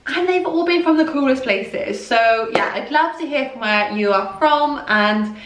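A woman in her thirties from Wales with a slight Welsh accent, chatting brightly to camera about things she has bought, with lots of rambling asides. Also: high voice, lively intonation, unhurried, recorded from a distance. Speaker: fashion vlogger. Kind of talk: casual haul chat to camera. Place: small bright room indoors, in front of a door. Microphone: camera a few feet away. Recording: roomy sound, mic set back.

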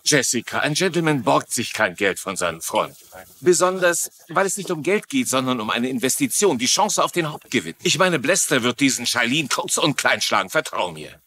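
An actor playing a wisecracking, cajoling, scheming middle-aged detective in a TV crime drama dub. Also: male voice, mid-range voice, very animated, moderate pace, bright, forceful tone, slight background noise. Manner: sardonic, cajoling. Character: wisecracking, scheming middle-aged male gumshoe, cash-strapped charmer. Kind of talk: German-dubbed TV crime drama.

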